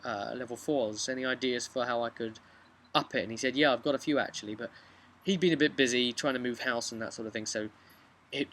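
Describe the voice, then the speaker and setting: A young man telling a casual, halting recap of a tabletop game to camera as the game master, speaking outdoors. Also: mid-range voice, a bit bright, slight background noise. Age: young adult. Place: outdoors on a garden bench by a brick house.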